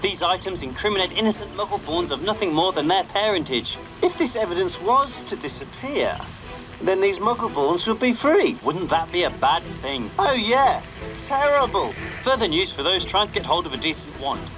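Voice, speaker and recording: Sarcastic pirate radio newsreader, highish voice. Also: male voice, big pitch swings, moderate pace, poor audio. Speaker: underground radio host. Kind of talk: radio news broadcast. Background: game music.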